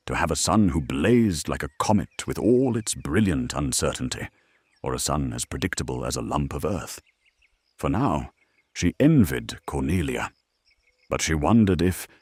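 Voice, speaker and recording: Male narrator, extremely deep, husky, expressive swings, dramatic storytelling, dry recording. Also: dry recording.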